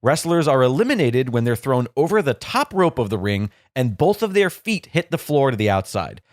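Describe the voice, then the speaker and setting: A playful young man hosting a podcast from home, his voice a little stuffed up and nasal. His pitch swings lively from line to line as he jokes with his co-host. The sound is close and dry, with no room in it. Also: normal speed, no room sound. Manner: playful, joking, animated. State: congested and nasal. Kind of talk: casual podcast chat. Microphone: studio microphone.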